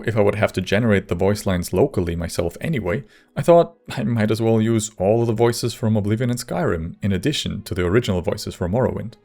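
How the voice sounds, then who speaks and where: Low, gravelly man narrating a video. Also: a little mellow. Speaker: video creator and modder. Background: faint music.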